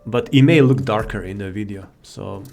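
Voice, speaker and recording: A man's voice: on the low side, normal speed, gravelly, a little mellow. A man giving casual, rambling commentary as he shows off a modded game. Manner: rambling, informal, easily sidetracked. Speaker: gaming video host. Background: faint game music.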